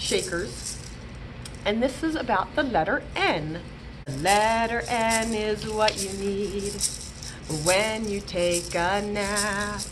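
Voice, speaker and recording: A woman's voice: medium pitch, poor audio. A woman hosting a storytime, talking playfully and animatedly to young children as a children's librarian would. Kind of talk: children's storytime read-aloud and chat.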